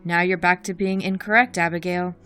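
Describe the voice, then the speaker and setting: A woman's voice: lowish voice, fairly even intonation, a little fast, fairly bright tone, lightly acted. A woman playing a cunning, mocking imprisoned cult leader in an audio drama, speaking precisely and theatrically. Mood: mocking, bargaining. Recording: music behind the voice.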